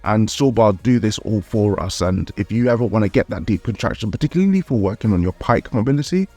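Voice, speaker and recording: Low-voiced man narrating with a slight nasal edge. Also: lively intonation, quick pace, a little mellow, husky, soft background music. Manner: candid, upbeat, explanatory. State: nasal, recovering from a cold. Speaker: calisthenics vlogger. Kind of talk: voice-over vlog narration.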